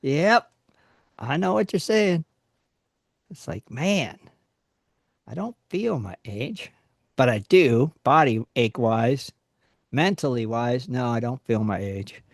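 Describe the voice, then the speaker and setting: A man's voice: medium pitch, expressive, slow pace, vocal fry, pauses often, no room sound, studio quality. A man in his sixties hosting a live online radio chat, joking and laughing often. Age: 60s. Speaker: radio show host.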